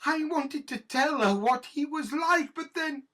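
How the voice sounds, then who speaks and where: An actress playing an embittered, guilt-ridden middle-aged senior police detective with a British accent, halting and volatile in a naturalistic audio drama. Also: female voice, high-pitched, fairly bright tone. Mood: anguished confession. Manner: halting, bitter, emotionally volatile.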